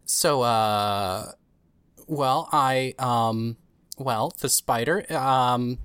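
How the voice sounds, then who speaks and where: A woman playing a nervous, hesitant, kindly woman in an audio drama, halting and stammering as she talks. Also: extremely low pitch, clear, resonant tone, no echo, heavily acted. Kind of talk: hobby audio drama acting.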